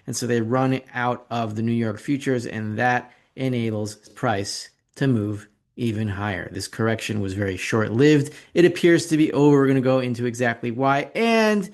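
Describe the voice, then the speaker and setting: A man's voice: medium pitch, normal speed, hardly any pauses. A man hosting an investing video report, talking through charts in a casual, opinionated, rambling way with sarcastic asides. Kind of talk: casual market commentary with slides.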